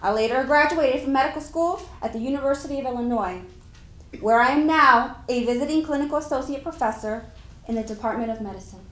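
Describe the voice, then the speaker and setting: A woman's voice: high-pitched, fast talker, a bit bright. A woman playing an accomplished physician, formally reciting her life story in a scripted dramatic reading. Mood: formal, proud. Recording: echoey hall stage recording.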